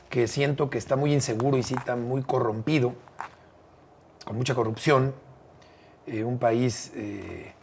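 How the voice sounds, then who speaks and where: A man's voice: medium pitch, darkish tone, a little hiss or hum. A middle-aged Mexican man with a Mexican accent, a radio broadcaster talking as an interview guest, earnest and affectionate in a casual conversation. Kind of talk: warm casual interview talk.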